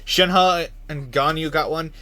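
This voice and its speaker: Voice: mid-range voice, takes it slowly, sharp tone. A streamer giving casual live gameplay commentary in rambling, reactive asides. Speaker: game streamer. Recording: noisy livestream with game sound behind. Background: game ambience.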